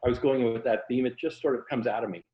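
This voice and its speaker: A man's voice: mid-range voice, quick pace. A mature American man talking casually and reflectively as a writer being interviewed. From Tampa, Florida, United States. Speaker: interview guest, writer and former journalist. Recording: roomy echo, dull muffled sound.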